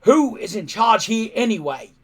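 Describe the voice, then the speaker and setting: Male reader as an adult male Viking chief, bellowing, raised pitch, blunt, domineering, short-tempered, storybook character. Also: big pitch swings, moderate pace, heavily acted. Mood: angry, impatient. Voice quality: loud, bellowing.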